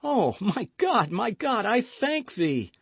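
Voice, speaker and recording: A man's voice: high voice, very animated, slow pace, vocal fry, slightly rough, dry recording, pitched above the performer's own voice, heavily acted. A man reading aloud voices a passionate young man who cries out frantically. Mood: frantic. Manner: crying out frantically.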